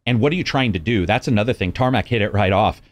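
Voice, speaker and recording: A man with a fairly low voice chats casually, agreeable and easygoing. He laughs readily and backs up the others' points. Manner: agreeable, chatty, quick to laugh. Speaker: podcast guest. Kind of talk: casual discussion.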